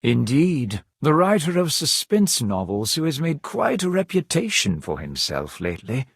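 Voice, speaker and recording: A courteous, composed servant of a grand house in a game cutscene. His formal delivery moves through lively rises and falls, with a slightly husky edge and a very dry, close sound. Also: fairly high pitch, no room sound, exaggerated voice. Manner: formal, courteous, measured diction. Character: polite, composed male servant of a grand manor. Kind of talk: scripted video game dialogue.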